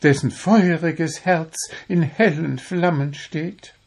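A man's voice: a little high, takes it slowly, creaky, pitched above the performer's own voice, exaggerated voice. A man voicing a small, proud, cajoling blue bird with romantic airs in a storybook. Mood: confident, persuasive. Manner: confident, cajoling, unruffled. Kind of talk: storybook character voice.

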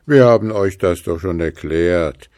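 A man's voice: low voice, measured pace, darkish tone, dry recording, clean studio sound. A man voicing a timid, pranking male snow ghost in a children's story, pleading and defensive. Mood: insistent.

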